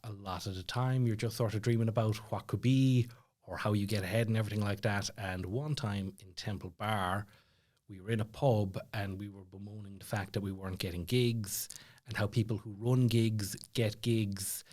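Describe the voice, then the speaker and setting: Man, Irish accent, lowish voice, casual storytelling, self-deprecating, chatty podcast guest. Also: fairly even intonation, moderate pace, darkish tone. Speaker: podcast guest, stand-up comedian.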